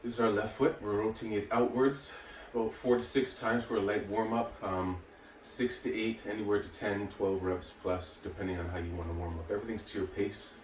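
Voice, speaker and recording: Deep-voiced man, echoey distant recording. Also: fairly even intonation, a little fast, few pauses. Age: late 30s. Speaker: martial arts and fitness instructor. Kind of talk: casual workout instruction to camera. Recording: echoey room, distant mic, faint noise.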